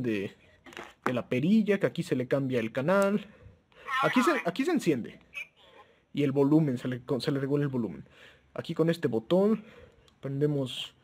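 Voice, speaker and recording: A man's voice: fairly high pitch, darkish tone, slight background noise. A man giving a casual, informal product review outdoors, hesitant and often repeating or correcting himself as he presents. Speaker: presenter of a gadget review video.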